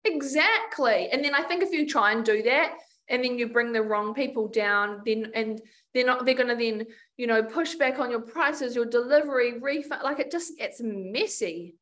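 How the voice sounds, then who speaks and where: A woman's voice: fairly high pitch, normal speed, fairly bright tone. New Zealand woman chatting on a video call. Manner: chatty, frank, many restarts. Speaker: podcast guest, business coach. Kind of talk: casual video call interview. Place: home room with door and painting. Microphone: video call webcam.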